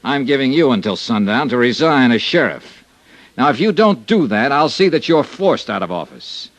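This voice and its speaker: Hard-boiled radio detective, animated swings. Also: male voice, medium pitch, normal speed, no room sound. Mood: forceful, stern. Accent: American. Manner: clipped, hard-boiled delivery. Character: tough, sardonic male insurance investigator narrating his case. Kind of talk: 1950s radio drama acting and narration. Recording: vintage radio drama recording.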